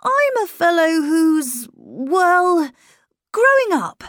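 A woman's voice: extremely high pitch, big pitch swings, drawn-out words, fairly bright tone, dry recording, clean studio sound, voice pushed up, exaggerated voice. A woman voicing a polite, charming young boy who knows he has the upper hand, in an audiobook reading. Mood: charming, coaxing. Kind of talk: audiobook character voice.

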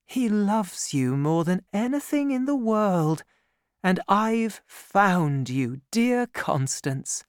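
A woman's voice: medium pitch, big pitch swings, slow, clean tone, no echo, clean studio sound, voice pushed up. A woman playing a sweet, scheming lady. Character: scheming charming woman, sweet-voiced and calculating.

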